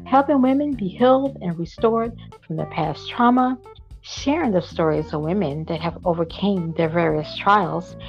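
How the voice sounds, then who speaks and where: A woman reading a scripted podcast intro in a slow, measured way. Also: mid-range voice. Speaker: show announcer. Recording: dull lo-fi over guitar music.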